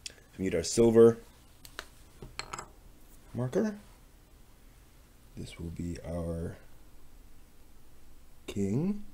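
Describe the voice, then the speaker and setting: A man casually thinking aloud as he works on a craft, muttering to himself in short, unhurried phrases. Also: low-pitched, dark tone, slight background noise. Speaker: maker hosting a craft workshop video.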